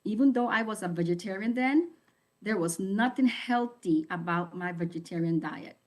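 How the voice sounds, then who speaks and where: A woman's voice: mid-range voice, moderate pace, darkish tone. A woman sharing a personal health testimony in a candid, reflective storytelling manner.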